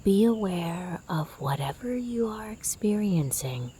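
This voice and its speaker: Female meditation guide: mellow, half-whispered and breathy, gentle, soothing delivery at an unhurried pace. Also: mid-range voice, lively intonation, slight background noise. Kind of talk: guided sleep meditation narration.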